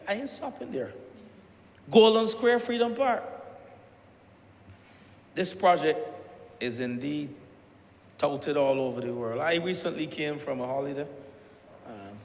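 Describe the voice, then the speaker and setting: A man with a Barbadian accent giving a deliberate parliamentary speech, stressing each site he names. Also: medium pitch, a little hiss or hum. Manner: deliberate address with rising emphasis on listed sites. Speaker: member of parliament. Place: parliament chamber. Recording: echoey chamber with long reverb.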